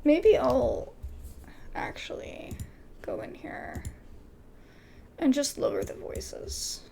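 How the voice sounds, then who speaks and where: A woman hosting a casual gaming video, reading game dialogue aloud haltingly and adding her own asides. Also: sits high, sing-song, creaky, dark tone, in a whisper.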